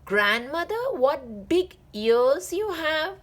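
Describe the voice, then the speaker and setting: Curious little fairy-tale girl, acted by a woman, high-pitched, swooping, wide-eyed and innocent. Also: big pitch swings, slow pace, fairly bright tone, exaggerated voice. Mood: amazed.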